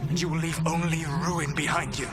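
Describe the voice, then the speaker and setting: Man acting a solemn ancient elven god over loud music. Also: on the high side, steady tone, close to an everyday voice. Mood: scornful. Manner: measured, formal, deliberate phrasing. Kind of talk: dramatic video game acting. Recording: game soundtrack music under the voice.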